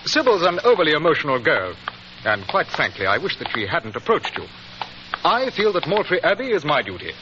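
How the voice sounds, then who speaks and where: Lively-voiced, formal British lord. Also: male voice, fairly high pitch, brisk. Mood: courteous. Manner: formal, polite, authoritative. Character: courteous, proud, dutiful English earl. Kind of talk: 1940s radio acting. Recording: old radio recording, muffled, clear hiss.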